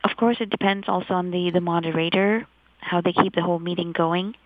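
A woman's voice: lowish voice, steady tone, brisk, no echo. A middle-aged woman from India with an American-leaning English accent, chatting as an interview guest and telling stories with enthusiasm. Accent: American-leaning English picked up in Taiwan. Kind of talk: casual interview chat. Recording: dull lo-fi sound.

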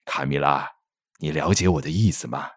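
A man playing a reassuring, talkative middle-aged father in an audio drama, explaining things in an expressive, fatherly way. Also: extremely low pitch, normal speed, vocal fry, slightly rough, no room sound, clean studio sound, full character voice.

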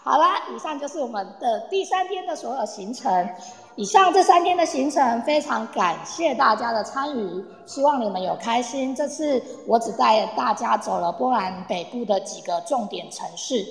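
A woman's voice: on the high side, animated, normal speed, gravelly. A woman playing a cheerful, eager tour guide in an amateur radio play, chatting to her group as she explains. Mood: cheerful, encouraging. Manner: chatty, addresses group, uses soft sentence-final particles. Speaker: tour guide in an audio drama. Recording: echoey, long reverb, online audio-room recording.

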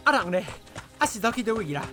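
A rough street thug in a radio drama. The speech is rapid and coarse, with a Taiwanese accent and lively swoops, over music. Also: female voice, fairly high pitch. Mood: irritated. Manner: brash, coarse. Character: rough, foul-mouthed street thug underling on the chase. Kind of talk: audio drama acting.

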